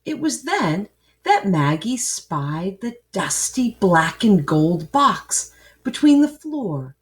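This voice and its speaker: A woman reading a children's storybook aloud in an expressive, dramatic way, with asides to young listeners. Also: mid-range voice, slow pace. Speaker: picture book reader.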